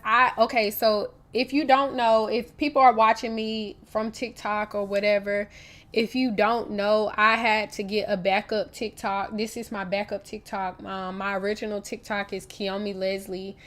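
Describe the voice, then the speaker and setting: A woman's voice: fairly high pitch, fairly even intonation, moderate pace, a bit bright. A young woman with a North Carolina Southern accent, hosting a casual livestream with a stuffy nose and chatting straight to her viewers. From Raleigh, North Carolina. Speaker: livestream host.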